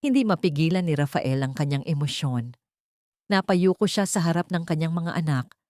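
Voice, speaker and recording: A woman narrating a dramatic story as an audiobook, with measured, sentimental delivery in short phrases. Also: sits low, expressive, a little mellow, dry recording, studio quality.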